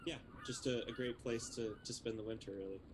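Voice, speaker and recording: Man from Texas, friendly and easygoing, mid-pitched voice, loud background noise. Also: steady tone, brisk. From Texas, USA. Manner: friendly, easygoing, laughs often. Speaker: podcast host. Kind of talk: casual interview chat. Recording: loud ambient and vehicle noise.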